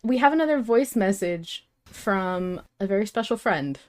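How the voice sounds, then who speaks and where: A woman's voice: medium pitch, lively intonation, moderate pace, a little mellow. A woman hosting a podcast in casual, sweary conversation. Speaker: podcast host.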